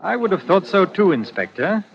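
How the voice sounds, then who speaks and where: An actor playing a secretive, menacing man in an old radio suspense play, speaking in a halting, guarded way. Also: high-pitched, very animated, gravelly, heavily acted. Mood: suave, veiled menace. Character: secretive, menacing male stranger posing as an actor. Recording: noisy, dull old radio recording.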